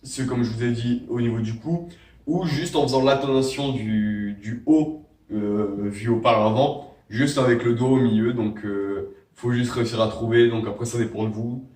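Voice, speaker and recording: A man's voice: mid-range voice, moderate pace, a little mellow. Young man with a cold, echoey room. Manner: rambling, many fillers and restarts. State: has a cold. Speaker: vape tricks tutorial host. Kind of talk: casual how-to tutorial. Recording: echoey room, mic at a distance.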